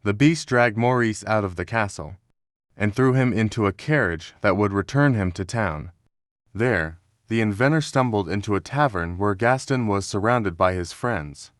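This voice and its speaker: A man's voice: lowish voice, normal speed, dry recording, clean studio sound. A man reading scripted narration as the narrator of a children's storybook.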